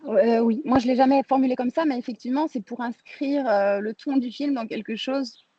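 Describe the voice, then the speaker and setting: A woman's voice: fairly high pitch, moderate pace, dry recording. A woman from France speaking as an interviewed film director, reflective and informal, thinking aloud and rephrasing as she goes, on slightly lo-fi audio.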